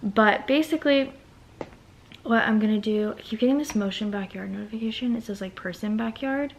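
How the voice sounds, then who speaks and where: A young woman chatting casually at home in a vlog, rambling and thinking out loud about her day and small business work. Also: fairly high pitch, fairly quick, a little hiss or hum. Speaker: vlogger and small business owner.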